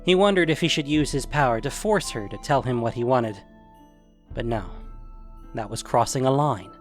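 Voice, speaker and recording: A man's voice: mid-range voice, moderate pace, faint music behind. A man narrating a scripted fantasy adventure story.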